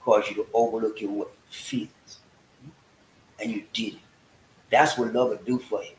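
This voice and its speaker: A man's voice: on the low side, flat delivery, moderate pace. Folksy man in an echoey room. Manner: folksy, preachy, breaks into singing. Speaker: vlog host. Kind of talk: casual livestream chat. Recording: echoey room with faint hiss.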